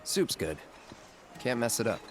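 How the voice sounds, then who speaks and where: A man voicing a terse, low-key young male hero in a video game, with loud music behind. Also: big pitch swings, unhurried. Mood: laconic. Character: laconic young male hero, aloof but agreeable.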